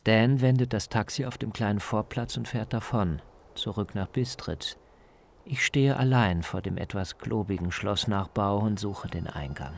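A man's voice: on the low side, normal speed, creaky, slight background noise. A man narrating a scripted radio travel feature as its reporter.

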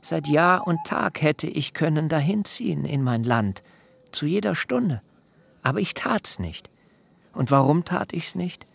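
Bedächtiger, knarrender alternder Hirte. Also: male voice, fairly high pitch, lively intonation, vocal fry, husky, exaggerated voice. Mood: reproachful, proud. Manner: measured, solemn, archaic phrasing. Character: aging herdsman, long-serving nephew, deliberate and insistent. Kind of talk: literary radio drama acting.

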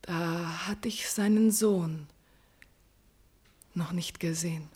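An actress playing a proud, sorrowful princess in a classical verse radio drama, speaking in a solemn, deliberate, declamatory way. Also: female voice, on the low side, a little mellow, breathy edge, full character voice. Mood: grieving, proud. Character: proud sorrowful captive princess of royal blood, secretly in love.

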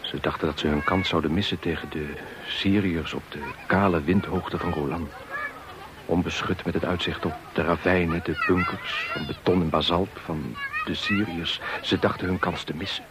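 An actor playing an intense soldier reliving battle in a poetic radio play, in clipped dramatic phrases. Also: male voice, deep voice, very animated, moderate pace, noisy background. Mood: grim.

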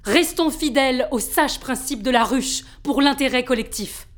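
A woman's voice: sits high, moderate pace, lightly acted. A woman playing a stern, authoritarian factory director who gives formal, commanding announcements in an audio drama. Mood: stern, official. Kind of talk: official public announcement.

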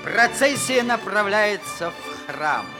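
Slow, animated male narrator over music. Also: sits high. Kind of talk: scripted theatrical radio-play narration. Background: music with violins.

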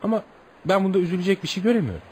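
An actor playing a courteous, reflective gentleman visitor, gently probing and philosophical, in radio theatre. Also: high voice, lively intonation, rapid-fire, a little hiss or hum. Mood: musing.

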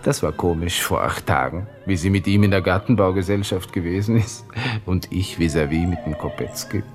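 An actor playing an arrogant, irritable young Austrian lieutenant from Vienna, brooding and grumbling to himself in a radio play monologue. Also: male voice, low voice, moderate pace, faint music behind, close to an everyday voice. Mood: irritated brooding.

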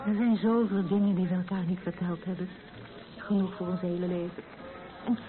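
An actress playing a young pregnant wife in a radio play, dreamy, tender and wistful, speaking in an intimate, reflective way. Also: female voice, mid-range voice, close to an everyday voice. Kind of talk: naturalistic radio play dialogue. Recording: thin phone-like old radio recording.

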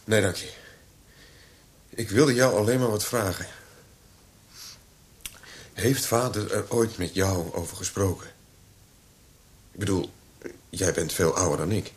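An actor playing a despondent, troubled younger brother on the run in a radio drama. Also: male voice, low voice, steady tone, measured pace, pauses often. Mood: troubled, subdued. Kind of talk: Dutch radio drama acting.

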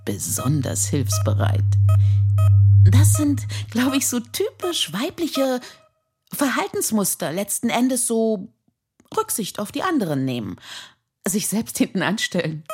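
A woman's voice: a little high, sing-song, measured pace, a little mellow, breathy edge, soft background music. A woman speaking reflectively, as in a spoken performance piece.

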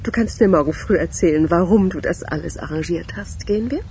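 A woman's voice: fairly low pitch, a little fast, dark tone, a little hiss or hum, lightly acted. An actress playing a self-possessed, teasing woman with a dry, ironic manner in a radio crime drama. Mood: wry, guarded.

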